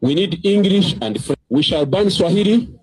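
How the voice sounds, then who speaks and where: A man's voice: sits high, animated, normal speed, a little mellow, husky, low-quality recording. A man making a public political speech, insistent and repetitive. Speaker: political candidate.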